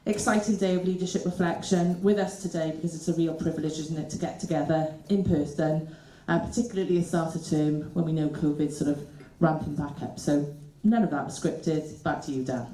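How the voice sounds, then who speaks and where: A woman's voice: low-pitched, fairly even intonation, brisk, dark tone, echoey room, rough recording. A woman co-hosting an event, welcoming guests in a chatty way with many fillers. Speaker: event co-host. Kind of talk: welcome speech.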